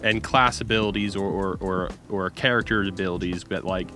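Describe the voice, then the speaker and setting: Halting low-voiced American man, music behind him. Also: fairly even intonation, sharp tone. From California, United States. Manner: halting, self-deprecating, searching for words. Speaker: game designer, interview guest. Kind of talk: casual, unscripted interview.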